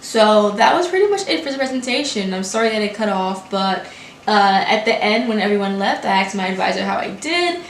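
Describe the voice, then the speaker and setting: Young woman, 20s, from Brooklyn, English not her first language; rapid run-on vlog talk with restarts, echoey room. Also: medium pitch, expressive. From Brooklyn, New York. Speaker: graduate student vlogger. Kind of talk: casual talk to camera. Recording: echoey room with faint hiss.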